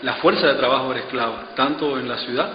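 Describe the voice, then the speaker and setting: A man's voice: mid-range voice, fast talker. A man speaking as an expert interviewee in a documentary, explaining in a formal, academic way. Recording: muffled, noisy playback in echoey hall.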